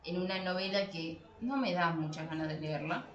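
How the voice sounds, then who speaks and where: A woman's voice: lowish voice, moderate pace, highs cut off. A woman co-hosting a casual discussion podcast, talking playfully with wry asides. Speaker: podcast co-host. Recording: roomy echo, clearly noisy background.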